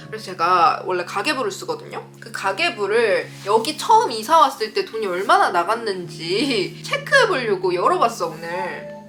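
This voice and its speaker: A woman in her thirties giving casual advice to viewers as a vlogger, speaking in a frank, emphatic way. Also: on the high side. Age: 30s. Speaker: vlogger answering viewer letters.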